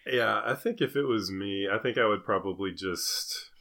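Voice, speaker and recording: A man's voice: on the low side, normal speed, gravelly, bright, forceful tone. A man co-hosting a comedy podcast in casual banter, with dry mock-serious irony and a hesitant, self-interrupting delivery. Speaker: podcast co-host. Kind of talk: casual comedy banter, reading joke news items.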